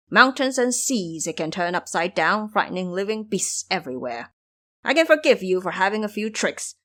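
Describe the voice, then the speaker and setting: A woman's voice: mid-range voice, a bit bright, close to an everyday voice. A woman voicing a foolish male pig-demon monk in an audio drama, blustering and boastful, pleading when scared.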